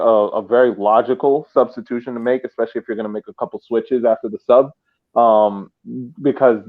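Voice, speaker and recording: A man giving casual, halting match analysis over a thin phone-like connection, often repeating his phrases. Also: lowish voice. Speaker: soccer podcast panelist. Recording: tinny, phone-like line.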